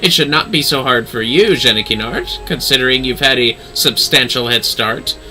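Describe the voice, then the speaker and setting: A man's voice: mid-range voice, normal speed, bright, forceful tone, slight background noise, pitched below the performer's own voice, low-quality recording. A man voicing a haughty, stern young noblewoman astrologer from a game, formal and scolding. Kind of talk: game dialogue read-aloud.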